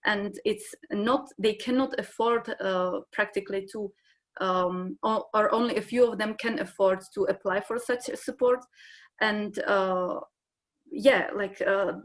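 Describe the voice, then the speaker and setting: A woman speaks English as an on a conference panel. Her pitch sits mid-range for a woman. She hesitates often and fills gaps with "uh" as she restarts her sentences. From Czech Republic. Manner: hesitant, many fillers and restarts. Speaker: conference panelist from a large arts institution. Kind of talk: panel discussion answer.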